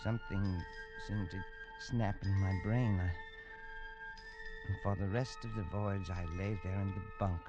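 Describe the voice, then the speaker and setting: An actor playing a haunted man telling his own nightmare in a tense, hushed, stammering first-person style in a 1940s radio drama. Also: sits low, fairly even intonation, unhurried. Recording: lo-fi old radio recording under music.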